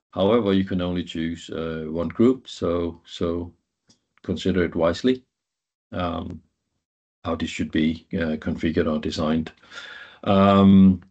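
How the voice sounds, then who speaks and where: Low-voiced man, halting technical presenter. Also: dull audio. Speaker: guest presenter, IT professional. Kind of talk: informal technical presentation over slides.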